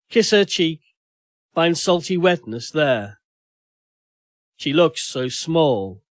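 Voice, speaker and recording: A man's voice: fairly high pitch, dry recording, clean studio sound. A man narrating first-person fiction as a dramatic audiobook reading.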